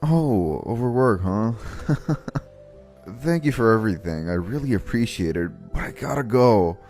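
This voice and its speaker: A man acts out a young male office worker in an anime-style dub, low-pitched with wide swings in intonation. He is worn out, earnest and polite, easily flustered, with music playing underneath. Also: measured pace. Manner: earnest, weary, expressive. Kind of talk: anime-style manga dub narration and dialogue.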